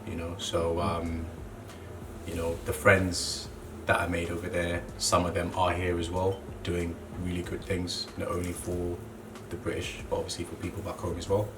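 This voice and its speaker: A young man who grew up in Zambia, a clothing brand co-founder, talking casually and relaxed, with lots of "you know" fillers. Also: sits low, normal speed. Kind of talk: casual interview monologue. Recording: distant mic with clear background noise.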